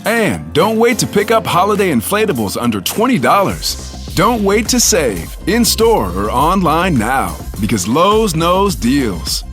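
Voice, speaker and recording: A man's voice: on the high side, huge pitch swings, fairly bright tone, soft background music. A man voicing a polished radio commercial in an upbeat, punchy way. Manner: upbeat, punchy, quick legal disclaimer. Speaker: ad announcer.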